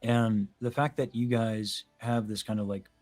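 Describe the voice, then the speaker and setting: A man's voice: medium pitch, fairly even intonation, measured pace, darkish tone. A man talking voice technique, music behind. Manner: analytical, explains vocal technique in detail. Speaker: host, vocal coach. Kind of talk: casual video-call chat about singing.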